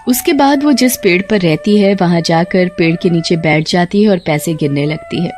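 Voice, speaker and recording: A woman's voice: fairly low pitch, normal speed, a little mellow, faint music behind. A woman narrating a scripted tale as a storyteller.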